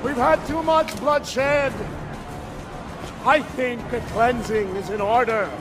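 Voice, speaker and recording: An actor playing a menacing, mocking nobleman villain in a video game cutscene, speaking in a theatrical, deliberate way. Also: very high voice, fairly even intonation, music in the background. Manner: theatrical, taunting, slow and deliberate.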